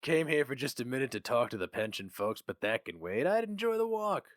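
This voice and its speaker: A man's voice: medium pitch, big pitch swings, brisk, dry recording, clean studio sound, voice pushed up, lightly acted. A man voicing a talkative, kindly old company veteran, folksy and wistful. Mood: chatty, helpful. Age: older.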